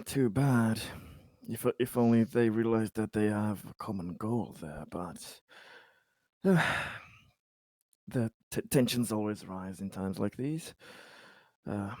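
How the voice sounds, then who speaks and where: A man playing a tabletop roleplaying game, improvising in character and out, with halting, stammering delivery. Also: fairly low pitch, darkish tone, dry recording, studio quality.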